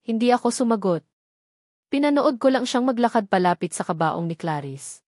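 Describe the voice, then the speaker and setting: A woman's voice: a little high, animated, normal speed, a little mellow, dry recording, clean studio sound. A woman reading a scripted first-person dramatic story as narrator.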